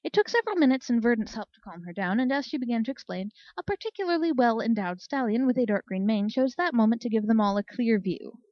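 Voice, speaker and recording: A woman's voice: fairly high pitch, lively intonation, quick pace, hardly any pauses, no room sound, highs cut off. A woman reading a scripted story aloud as an audiobook narrator. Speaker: audiobook reader.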